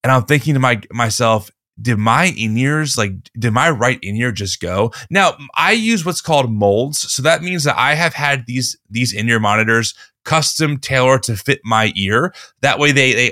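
A man with a slightly hoarse voice hosts a casual podcast chat, telling a long story with relaxed restarts. His tone is bright and forceful. He is recorded close on a dry studio mic. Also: mid-range voice, no room sound. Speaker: podcast host.

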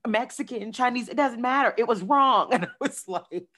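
A woman hosting a podcast in a casual, playful, animated way, calling listeners "y'all" and "honey.". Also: a little high, normal speed. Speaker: podcast host. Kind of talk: casual chat.

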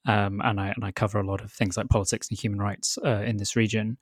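Soft-spoken man, dry close mic. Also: fairly low pitch, level tone, quick pace, slightly rough, dry recording. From North Wales. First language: Welsh. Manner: thoughtful, hesitant, many ums and restarts. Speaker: guest author, journalist. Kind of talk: podcast interview.

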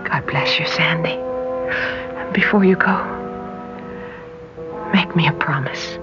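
A woman acting a brave, tender young American woman in a classic radio drama, with a breathy, intense delivery. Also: on the low side, animated, slow, whispered. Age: young adult. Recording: dull old radio recording with music.

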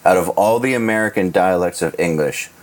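Male host, deep low register, blunt teasing banter. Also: a little fast. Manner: blunt, swears freely, teasing. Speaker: podcast host. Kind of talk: casual chat.

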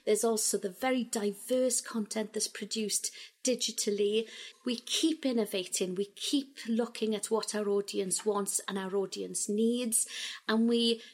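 Upbeat woman editor. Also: a little high. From Wales. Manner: upbeat, energetic, frequent "you know" and "um". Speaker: radio station editor. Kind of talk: interview guest.

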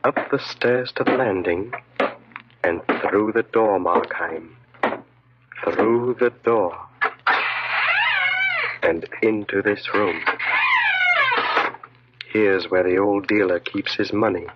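A man's voice: lowish voice, takes it slowly, long pauses, very noisy. An actor playing a commanding, mocking evil genius that speaks within a man's mind, in 1940s radio horror style. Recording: muffled old radio recording.

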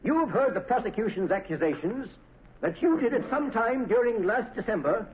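A man's voice: very high-pitched, lively intonation, normal speed, narrow, tinny audio. A man playing an earnest defense attorney, formal and declamatory in 1930s radio style. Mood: earnest, firm. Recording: thin, noisy 1930s radio recording.

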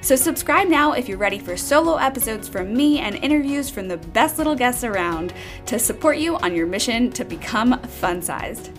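A woman's voice: lively intonation, normal speed, over music. A young woman hosting a podcast, reading an upbeat intro and then chatting casually, saying "like" a lot. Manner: upbeat, bouncy pitch, says "like" a lot. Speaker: podcast host.

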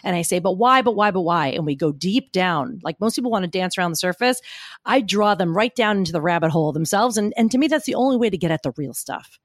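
A woman guest with a gruff, gritty voice, talking emphatically and animatedly in a podcast interview. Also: lowish voice, fast, no echo, studio quality. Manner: emphatic, argumentative, animated storytelling. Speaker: guest speaker coach.

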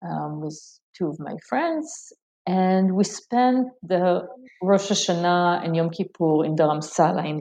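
An Israeli woman, a Hebrew speaker, recounts her path in a reflective interview. Her voice sits a little low with a mellow, dark tone. She hesitates often, restarting phrases as she searches for words. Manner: thoughtful, hesitant with frequent ums and restarts. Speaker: scholar guest. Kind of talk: interview answers.

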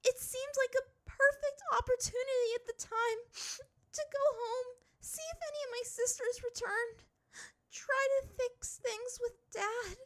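A woman voicing an emotional, defensive teenage runaway princess in a high, quavering voice, in a storybook reading. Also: steady tone, a little slow, fairly bright tone, full character voice. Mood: tearful, faltering.

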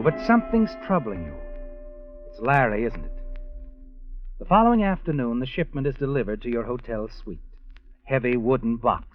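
A man's voice: medium pitch, natural voice. An actor playing an ominous, knowing male narrator who insinuates through a 1940s radio suspense drama. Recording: muffled old radio recording with music.

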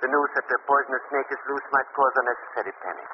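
An actor playing a worried zoo doctor who talks in a hesitant, fussy way, in 1940s radio acting. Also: male voice, medium pitch, fast talker, close to an everyday voice. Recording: noisy, muffled old radio recording.

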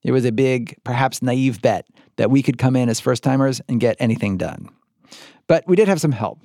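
A man's voice: mid-range voice, moderate pace, dark tone, no echo, studio quality. An American man hosting and narrating a podcast in a scripted but conversational storytelling style.

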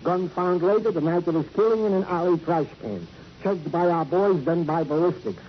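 Comic sidekick cop played by a man, American, fussy and officious, higher voice, old noisy radio drama. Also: moderate pace, lightly acted. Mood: officious, businesslike. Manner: pompous, mock-formal, officious. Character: fussy, pompous, comic male police sergeant sidekick. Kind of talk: 1950s radio drama acting. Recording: dull, noisy vintage radio recording.

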